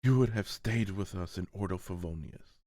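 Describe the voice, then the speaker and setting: A man voicing a solemn, weary, principled seneschal in a fantasy manga dub, earnest and halting. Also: deep voice, mellow, no echo, clean studio sound, close to an everyday voice. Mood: sorrowful resolve. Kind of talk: dramatic manga voice-over.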